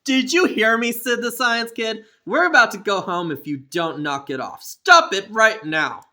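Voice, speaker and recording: A woman voicing a stern, angry father who scolds his son with loud, repeated threats. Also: on the high side, expressive, unhurried, pitched above the performer's own voice. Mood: scolding.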